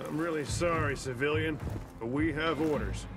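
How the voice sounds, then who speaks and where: An actor playing a gruff, bossy military lieutenant in a video game, curt and commanding. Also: male voice, fairly high pitch, normal speed, natural voice. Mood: brusque. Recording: game music behind.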